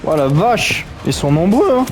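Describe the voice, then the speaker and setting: A man's voice: a little high, big pitch swings, unhurried, faint music behind. A man commenting on a game as he plays, in a chatty, joking, reactive way. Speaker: gaming streamer. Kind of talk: let's play commentary.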